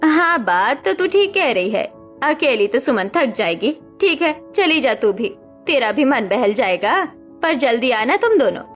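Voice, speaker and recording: A woman's voice: high voice, sing-song, a little slow, faint music behind. A woman voicing a strict, bossy older mother-in-law who scolds and gives orders. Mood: relenting. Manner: scolding, commanding.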